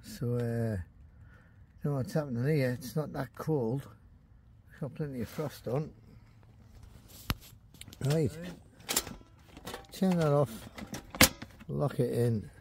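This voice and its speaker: A man's voice: mid-range voice, slow pace, creaky, long pauses, background noise. A man with a Teesside accent chatting casually and colloquially as he vlogs outdoors. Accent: Teesside English. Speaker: angler vlogger. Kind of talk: casual vlog chat. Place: outdoors on a riverbank at night. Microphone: camera or phone mic.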